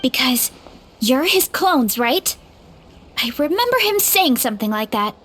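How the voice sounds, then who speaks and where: A woman voicing a friendly, easygoing young woman in a video game, gentle and unhurried. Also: sits high, big pitch swings, slight background noise, heavily acted. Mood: sweet.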